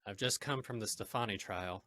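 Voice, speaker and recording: A man playing a confident gentleman crime fighter in an old-time radio drama, explaining things crisply. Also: on the low side, brisk, no room sound. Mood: matter-of-fact.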